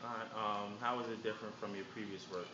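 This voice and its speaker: Low-voiced man interviewer in echoey room. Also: little pitch movement, quick pace. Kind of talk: reading prepared interview questions. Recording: noisy, muffled, echoey room recording.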